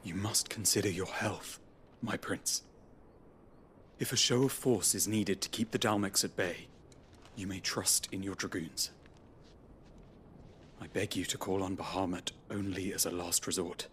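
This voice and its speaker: Loyal game knight: lowish pitch, solemn, respectful, formal. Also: male voice, steady tone, unhurried, pauses often, a bit bright, slight background noise. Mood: earnest concern. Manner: formal, deferential. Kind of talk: dramatic game cutscene acting.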